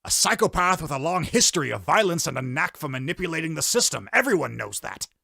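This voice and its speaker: Opinionated news pundit, male actor, lively pitch, husky edge, combative, comic dub. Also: fast, no room sound, studio quality, heavily acted. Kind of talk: fan dub voice acting, debate.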